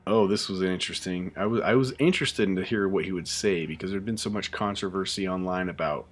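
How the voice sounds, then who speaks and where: Casual male streamer, deep voice, loose chatty delivery with fillers. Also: quick pace. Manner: relaxed, chatty, many fillers and restarts. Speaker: YouTube host reacting to a show. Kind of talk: casual live reaction chat.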